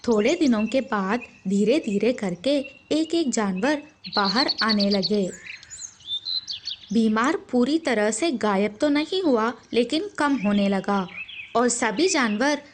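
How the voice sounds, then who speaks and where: A woman's voice: a little high, expressive, slow pace, noisy background. A woman narrating a scripted children's story as the storyteller.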